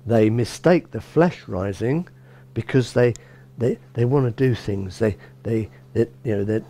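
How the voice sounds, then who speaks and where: A middle-aged man preaches a sermon from a lectern in a small church. His voice is mellow and dark, set at an ordinary middle pitch. He talks loosely and conversationally, stumbling and restarting with plenty of "you know". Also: a little slow. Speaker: bishop. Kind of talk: informal sermon.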